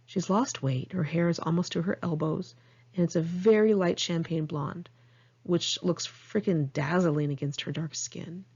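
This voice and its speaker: A woman's voice: fairly low pitch, measured pace, darkish tone, husky, muffled recording. An American woman reading her own diary-style memoir aloud in a candid, conversational way. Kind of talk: audiobook narration of diary entries.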